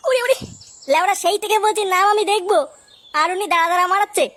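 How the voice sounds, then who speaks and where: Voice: very high voice, fairly even intonation, rapid-fire, a bit bright, slight background noise. A voice actor playing a sneaky, cursing young village rascal in a comedy cartoon. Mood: impatient, irritated. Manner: crude, cursing, cheeky. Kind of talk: exaggerated comic cartoon dubbing.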